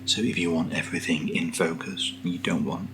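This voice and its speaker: A British man speaking softly in a breathy near-whisper, giving an informal, slightly hesitant ASMR product walkthrough as a keen enthusiast. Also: low-pitched, steady tone, moderate pace, music in the background. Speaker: ASMR host. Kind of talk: soft-spoken ASMR product unboxing.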